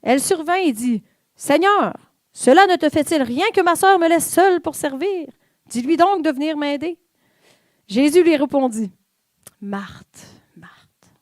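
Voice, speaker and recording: A woman's voice: high-pitched, expressive, measured pace, no echo, clean studio sound. A woman with a Québécois French accent giving an informal, conversational sermon in church, with fillers and the odd whispered aside. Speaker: church speaker.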